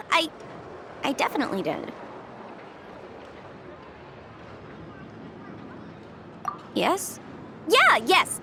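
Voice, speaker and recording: A woman voicing a chirpy, mischievous young half-immortal legal consultant with horns in a video game, talking in a playful, breezy, animated way. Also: high voice, a little hiss or hum, exaggerated voice. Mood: flustered, evasive.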